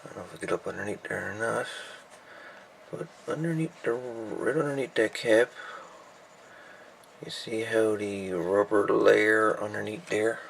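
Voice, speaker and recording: A man giving a casual, unhurried, folksy hands-on repair narration, thinking aloud as he goes. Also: fairly low pitch, creaky, a little hiss or hum, low-quality recording. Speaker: hobbyist radio repairer presenting a video.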